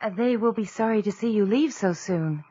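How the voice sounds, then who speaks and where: A woman playing a sweet, spirited young sweetheart of the early 1800s in an audio drama, gentle and affectionate. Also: medium pitch, moderate pace, breathy edge, no echo, dull audio, close to an everyday voice. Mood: tender. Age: young adult.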